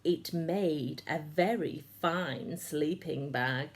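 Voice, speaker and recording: A woman reading a children's story aloud in an expressive, measured way. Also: low voice.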